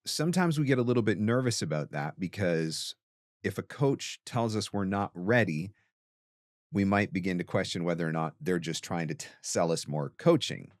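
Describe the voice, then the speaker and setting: A man's voice: low voice, animated, normal speed, no echo, clean studio sound. A man hosting a talk and giving conversational coaching advice, emphatic and repeating phrases for stress. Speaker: host and voiceover coach answering a question.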